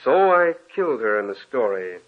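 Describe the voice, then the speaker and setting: A haunted, confessional author in an old radio drama, his husky voice breaking into anguish. The sound is thin and tinny, like a vintage broadcast heard over a narrow band. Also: medium pitch, sing-song, moderate pace. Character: guilt-ridden male author haunted by a dead love. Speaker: narrator of his own story. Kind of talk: 1940s radio acting.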